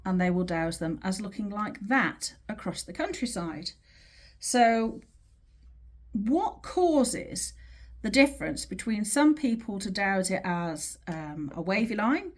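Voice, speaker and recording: A woman teaching in an informal, explanatory way, with measured, emphatic phrasing and pauses as she talks through a drawing. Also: mid-range voice, animated. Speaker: teacher of earth energy dowsing.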